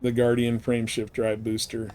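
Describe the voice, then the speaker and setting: A man's voice: mid-range voice, completely flat delivery, moderate pace, darkish tone, a little hiss or hum. A man livestreaming a space game, chatting casually and rambling informally as he thinks aloud. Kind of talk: casual chat while playing a space game.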